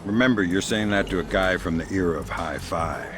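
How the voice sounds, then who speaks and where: An actor playing an older, dry and wry veteran spy in a video game, with a deadpan manner. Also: male voice, sits low, brisk, over music, lightly acted. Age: middle-aged.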